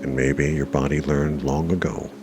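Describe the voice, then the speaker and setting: A man narrating a guided meditation in a measured, reassuring way, leaving long pauses between short phrases. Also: rumbling low, level tone. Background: music.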